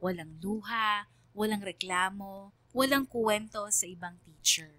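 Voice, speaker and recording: A woman's voice: medium pitch, a little slow, pushed and bright, dry recording. Woman as a reflective student narrator, dry and close. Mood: sombre, moved. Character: reflective student narrator, quietly empathetic toward her teacher. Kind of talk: scripted first-person radio drama narration.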